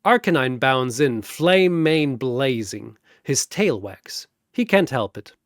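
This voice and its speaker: A man delivering dramatic, deliberate, wry scripted narration of a comic fantasy battle show. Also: mid-range voice, lively intonation, dry recording, studio quality. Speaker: narrator and announcer.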